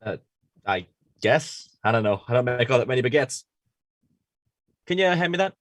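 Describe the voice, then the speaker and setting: A man's voice: mid-range voice, lively intonation, moderate pace, dry recording, lightly acted. A man playing a distracted, anxious baker in his twenties, offhand and hesitant in a comedy table read. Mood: distracted, curt.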